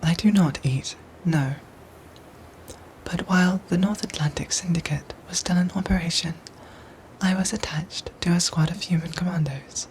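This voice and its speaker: A woman voicing a gentle, dutiful android soldier in an ASMR roleplay, with soft breathy whispers and formal, measured phrasing. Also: deep voice, a little hiss or hum, low-quality recording. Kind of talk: ASMR roleplay audio drama.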